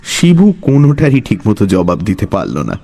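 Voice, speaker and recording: A man telling a story as a dramatic, scripted narration in a husky voice. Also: on the low side, huge pitch swings, normal speed, dark tone.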